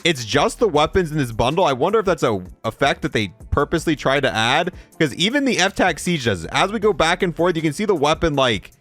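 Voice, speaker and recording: A man's voice: a little high, sing-song, a little fast, few pauses, a bit bright, faint music behind. A man giving casual, informal video game review commentary, thinking aloud as he goes. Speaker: gaming video host and reviewer.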